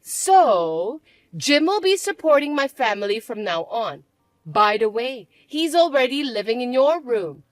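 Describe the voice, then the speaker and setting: A woman voicing a spoiled young stepsister who taunts and bosses in a shrill, mocking way. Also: big pitch swings, unhurried, no room sound. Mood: taunting.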